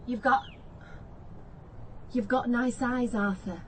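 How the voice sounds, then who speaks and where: An actress playing a chatty, persistent neighbour in a radio play, forthright and easily offended, with a northern English accent. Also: female voice, on the high side, takes it slowly, fairly bright tone, a little hiss or hum. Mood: chirpy, persistent. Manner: forthright, colloquial.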